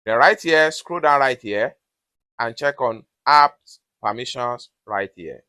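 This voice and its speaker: A man with a mid-pitched voice narrates a step-by-step tutorial. He gives instructions one at a time, often repeating his phrasing. The sound is dry and close to the mic. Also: slow, pushed and bright, smooth, dry recording. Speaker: tutorial host.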